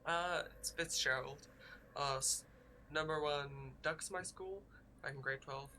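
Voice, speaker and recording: A man's voice: fairly high pitch, unhurried, bright, forceful tone, a little hiss or hum. A man playing a boastful male engineering student in a tabletop game, speaking in a smug, matter-of-fact way.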